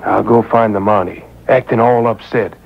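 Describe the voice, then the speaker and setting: A man's voice: lowish voice, steady tone, moderate pace, creaky. A man acting in an old-time radio drama as a confident, scheming outlaw, on a muffled, noisy taped broadcast.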